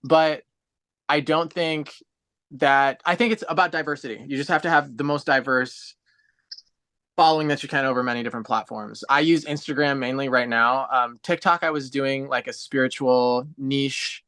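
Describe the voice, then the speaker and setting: A man in his twenties talks on a video call and explains his thinking at length in an earnest, steady way. His voice sits at a middle pitch. The sound is dry, with no room echo. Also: moderate pace. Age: 20s. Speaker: guest on a livestream. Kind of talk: casual video-call chat.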